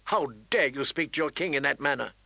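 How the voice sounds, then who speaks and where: A man's voice: a little high, fairly quick, creaky, pushed and bright, no echo, exaggerated voice. A man voicing a haughty, hostile queen with an indignant, accusing manner in a storybook reading.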